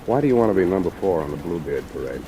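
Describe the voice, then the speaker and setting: A man's voice: rapid-fire, vocal fry, full character voice. An American man playing a wisecracking, hard-boiled private detective with dry, sardonic delivery in a 1940s radio noir drama. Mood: wry. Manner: dry, sardonic, with hesitant murmured asides. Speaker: private detective narrator and lead. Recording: dull, noisy old radio recording. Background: steady rain and train rumble.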